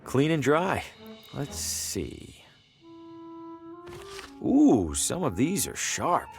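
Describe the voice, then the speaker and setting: A man's voice: medium pitch, wildly swooping pitch, drawn-out words, vocal fry, soft background music, heavily acted. A man playing a curious, hesitant traveling salesman in a video game, with a wry way of talking. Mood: wry musing. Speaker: protagonist character. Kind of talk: video game voice acting, dialogue and musing asides.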